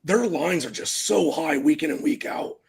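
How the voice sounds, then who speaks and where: A man with a naturally raspy voice, chatting casually as a panelist on a live sports betting show. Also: on the high side, moderate pace, darkish tone. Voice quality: raspy, gravelly voice.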